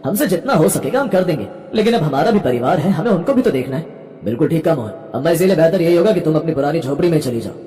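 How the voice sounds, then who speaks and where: A woman's voice: low-pitched, animated, quick pace, dark tone, faint music behind, voice pushed down. A woman voicing an uncaring grown son, blunt and dismissive, in a cartoon family tale. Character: uncaring, dismissive grown sons of old mother. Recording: reverberant hall-like sound.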